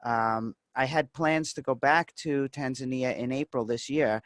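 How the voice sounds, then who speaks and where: A man takes part in a casual online group conversation. His mid-pitched voice is recorded dry, with no room around it, and he speaks in measured, hesitant phrases that often halt. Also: no echo. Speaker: session participant. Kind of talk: casual chat on a video call. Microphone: video call.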